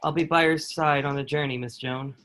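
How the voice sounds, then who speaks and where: A man's voice: fairly high pitch, steady tone, a little fast, a bit bright, natural voice. A man playing a protective, steadfast soldier and loyal friend in a historical play reading, speaking earnestly in formal period phrasing. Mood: gentle, sympathetic. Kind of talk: dramatic table read of historical play.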